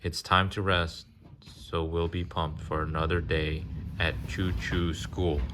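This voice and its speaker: A man reading a children's picture book aloud in a casual way, pausing to chat, ask questions and explain. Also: deep voice, flat delivery, background noise. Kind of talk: casual read-aloud with chatty asides.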